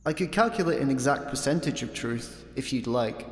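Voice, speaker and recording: An actor playing a droll, pedantic shipboard computer in a sci-fi comedy radio play, dry and literal. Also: male voice, medium pitch, animated, normal speed, natural voice. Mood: sarcastic. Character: droll, pedantic, sarcastic male shipboard computer in an escape pod. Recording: echoey, long reverb on voice.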